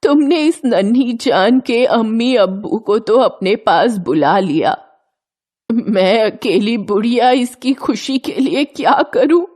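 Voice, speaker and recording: A woman's voice: on the high side, lively intonation, takes it slowly, a little mellow. A woman playing a poor, anxious old grandmother in a dramatised children's story, in a plaintive, lamenting manner. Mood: worried, lamenting.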